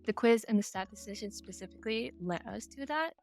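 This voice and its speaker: A woman's voice: a little high, animated, fairly quick, soft background music. A woman explaining her work as an interview guest. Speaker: interview guest, game project lead.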